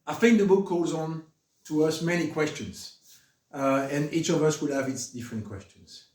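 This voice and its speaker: A middle-aged French man with a French accent, giving a formal spoken introduction at a book discussion, earnest and halting, with frequent fillers. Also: on the high side, a little mellow. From France. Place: room with an audience. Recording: echoey room, mic at a distance.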